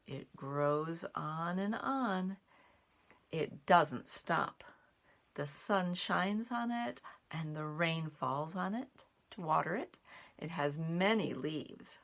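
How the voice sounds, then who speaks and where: A woman reading a children's picture book aloud in an expressive, deliberate way for young listeners, with little asides. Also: low voice. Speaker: storybook reader and sign language teacher.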